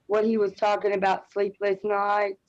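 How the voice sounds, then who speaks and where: A woman's voice: mid-range voice, completely flat delivery, normal speed, darkish tone. A woman panelist speaking off the cuff and plainly, reflecting personally over a video call. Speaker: panelist, community artist. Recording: muffled video-call audio.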